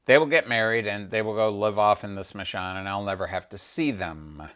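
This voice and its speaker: A man's voice: on the low side, a little fast, fairly bright tone, close to an everyday voice. A man voicing a proud, resentful divine father-in-law in a storytelling session, haughty and exasperated.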